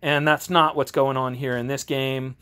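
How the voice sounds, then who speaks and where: A man reviewing tabletop games in a casual, off-the-cuff way, halting and often interrupting himself as he rambles along. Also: medium pitch, little pitch movement, sharp tone. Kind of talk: casual off-the-cuff product walkthrough. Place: home game garage, indoors. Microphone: handheld camera, held by the speaker.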